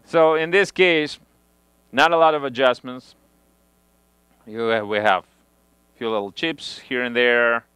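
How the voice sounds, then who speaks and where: A man in his thirties explains a repair step by step in a small home studio, his phrasing halting and full of restarts. His voice is smooth and clear at an ordinary pitch. The headset mic gives a close, dry sound. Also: fairly even intonation, a bit bright, no room sound. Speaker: audio equipment reviewer. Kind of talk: hands-on equipment demonstration.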